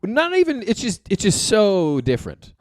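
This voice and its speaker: A young man, a comedian guest, joking in a self-mocking, animated way in casual podcast banter. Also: high-pitched, normal speed, no echo, clean studio sound.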